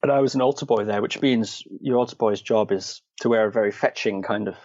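A man's voice: on the low side, a little fast, a little mellow, no room sound, lo-fi sound. A man from the Shetland Islands in Scotland telling stories as an interview guest, with dry, wry humour and a hesitant, qualifying way of talking. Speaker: interview guest, meditation and martial arts practitioner. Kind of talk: conversational interview, storytelling.

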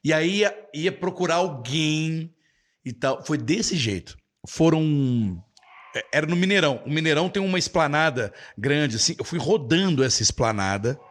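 A man's voice: fairly high pitch, expressive. A middle-aged Brazilian man with a Brazilian Portuguese accent, chatting casually as an English teacher who answers viewers' comments. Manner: chatty, with many restarts and quick asides.

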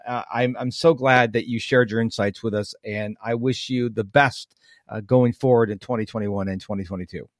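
Chatty American podcast host, dry mic. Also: male voice, mid-range voice, moderate pace, no room sound. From United States. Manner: chatty, storytelling, animated asides. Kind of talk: casual interview chat.

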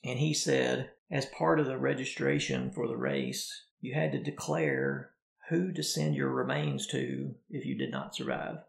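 A man co-hosting a podcast, talking through a story in a relaxed, conversational way. His voice is heavily gravelly, with a lot of vocal fry, and his pitch moves about a lot. The sound carries a noticeable room echo. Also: unhurried. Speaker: podcast co-host. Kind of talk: casual podcast chat.

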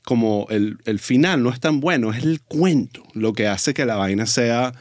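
A man's voice: mid-range voice, expressive, moderate pace, no echo, studio quality. A man with a Venezuelan accent, hosting a podcast and chatting casually with a guest. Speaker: podcast host. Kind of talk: casual conversational interview.

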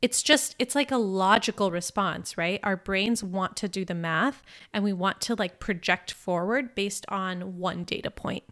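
A woman's voice: medium pitch, a little slow, hardly any pauses, a bit bright. A young adult woman hosting a money podcast as a financial coach, talking in a casual, upbeat coaching tone with a congested, slightly groggy voice and frequent "right?" check-ins. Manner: upbeat, conversational, often asks "right?".

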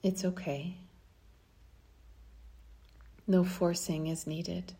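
A woman guides a meditation in a low, mellow voice with creaky edges. She speaks softly and soothingly, leaving long pauses between short phrases. Her delivery stays very even.

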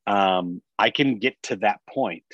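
A man's voice: on the low side, slow, fairly bright tone, dry recording. A man speaking as a podcast guest, telling his own experience casually in a candid, self-reflective way. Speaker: podcast guest, business executive. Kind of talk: casual personal storytelling in an interview. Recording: dry, slightly dull sound.

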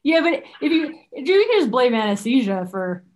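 A woman's voice: sits high, big pitch swings, moderate pace, noisy background. A woman hosting a conversation, coaching her guest with direct, probing questions and jokes.

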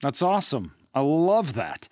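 A man's voice: on the high side, huge pitch swings, a little slow, darkish tone, no room sound, studio quality. A man hosting a casual interview podcast in an informal, talkative way. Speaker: podcast host.

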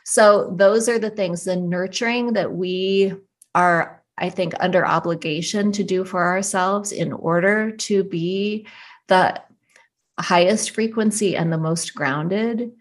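A woman talking through advice in a thoughtful, unhurried and reassuring way. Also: mid-range voice. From US desert region. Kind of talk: casual advice conversation.

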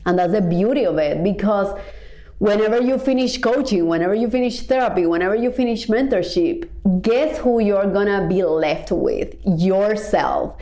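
A woman's voice: mid-range voice, expressive, moderate pace, dark tone, roomy sound. A woman born in Cuba, a life coach and podcast host, talking earnestly in a reflective motivational monologue, stretching key words and building on repeated phrases.

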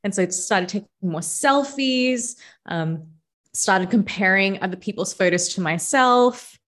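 A young woman from the Philippines, a podcast guest, telling her own story in a relaxed, reflective way with many fillers. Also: medium pitch, measured pace. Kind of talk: casual interview storytelling.

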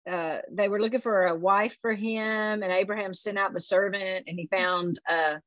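A woman's voice: medium pitch, steady tone, normal speed, dry recording. Woman interview host, affirming, dry slightly dull recording. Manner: warm, encouraging listener, frequent affirmations. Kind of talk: conversational interview.

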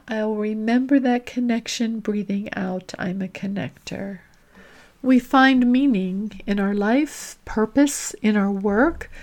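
Woman doing guided meditation podcast, real slow and deliberate, mid pitch, lots of pauses. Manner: gentle, deliberate, with long reflective pauses. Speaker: podcast host leading guided reflections.

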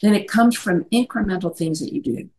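A woman's voice: mid-range voice, lively intonation, a little fast, a little mellow. A middle-aged woman who works as a life coach, talking earnestly over a video call and often checking in with "right?". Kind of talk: conversational video-call interview.

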